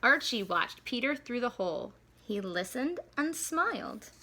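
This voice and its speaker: Woman, bright fairly high voice, expressive picture book narration, animated storyteller, addressing young children. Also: measured pace. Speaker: school staff reader.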